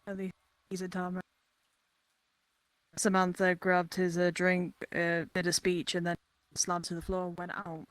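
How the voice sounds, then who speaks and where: Woman playing a halting, blunt gardener, dry sound. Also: lowish voice, completely flat delivery, dry recording, lightly acted, rough recording. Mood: hesitant. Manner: hesitant, halting, blunt swearing. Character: hesitant, blunt village gardener in fantasy roleplay. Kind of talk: in-character virtual reality roleplay.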